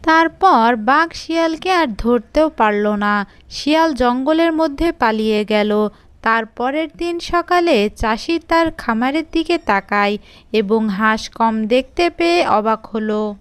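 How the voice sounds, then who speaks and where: A woman narrates a children's fairy tale in a high, clear voice. Her scripted storytelling moves slowly, each sentence set out on its own. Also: expressive, no echo.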